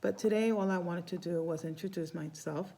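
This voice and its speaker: Rambling woman with a mellow low voice. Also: a little fast, vocal fry. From Cuba. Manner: warm, rambling, restarts phrases often. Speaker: Santeria priestess. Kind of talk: casual spiritual vlog talk.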